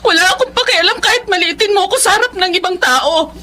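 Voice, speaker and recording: A woman's voice: very high-pitched, quick pace, pushed and bright, slight background noise. An actress playing a timid, belittled wife and mother who is near breaking, in an emotional Tagalog drama. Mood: furious, desperate.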